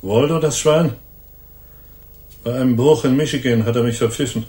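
A man's voice: medium pitch, normal speed, dark tone, lightly acted. Actor as sneering, hardened ex-convict gunman, roomy sound. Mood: bitter, smug. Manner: slangy, sneering tough-guy talk. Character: cynical hardened ex-convict gunman, menacing and resigned. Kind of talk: radio crime drama acting. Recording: roomy studio echo.

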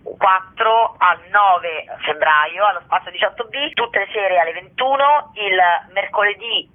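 A woman, a theatre director and actress, calling in to a radio interview and rattling off dates, prices and thanks. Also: mid-range voice. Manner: brisk, lists dates and thanks in a rush. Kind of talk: phone-in interview. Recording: tinny phone line.

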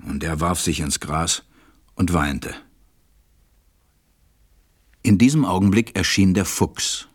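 A man narrating a fairy tale as a measured, reflective storyteller in a radio play. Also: deep voice. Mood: calm, narrating.

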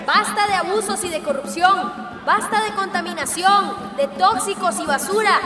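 An actress playing a fiery Central American protest leader, declaiming and rallying villagers in a radio drama. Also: female voice, extremely high pitch, moderate pace. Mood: defiant, rallying. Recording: echoey, distant rally sound. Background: loud music and crowd.

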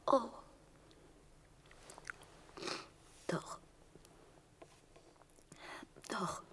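An actress in a German radio play as a frail, motherly middle-aged woman, half-whispering haltingly in a breathy, intimate murmur. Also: bright, forceful tone. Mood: timid, polite.

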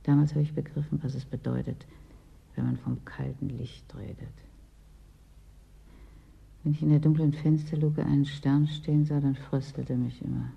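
A woman's voice: very deep voice, flat delivery, normal speed, creaky, pauses often, slightly rough, highs cut off, full character voice. An actress in a German radio play playing a chilled, wistful woman who owns property in a frozen city, querulous and brooding. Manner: querulous, brooding, dwells on memories.

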